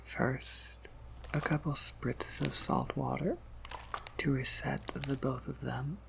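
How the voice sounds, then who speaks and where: Male performer as courteous vampire apothecary keeper, ASMR roleplay: unhurried, heavily creaky whisper, gentle, formal, quietly amused. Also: mid-range voice, little pitch movement, noisy background. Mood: politely coaxing. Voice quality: breathy whisper with gravelly creak.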